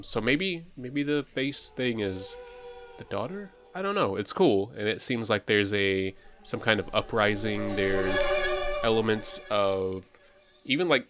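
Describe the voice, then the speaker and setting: A man's voice: on the low side, a bit bright. A man giving casual game playthrough commentary, musing aloud with frequent questions and reading text off the screen. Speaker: host of a game playthrough channel. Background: music.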